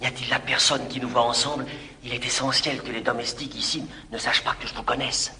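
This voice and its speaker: A man's voice: medium pitch, little pitch movement, quick pace, pushed and bright, slight background noise. A man playing a scheming former valet in a classic stage comedy, insistent and laughing as he coaxes his master. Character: Dubois, scheming former valet, confident and bossy. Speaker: actor in radio play.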